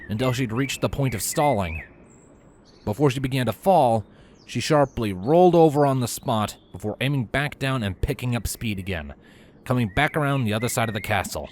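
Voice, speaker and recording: A man reading a story aloud as an expressive narrator. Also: medium pitch, normal speed, slight background noise. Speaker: fan fiction reader.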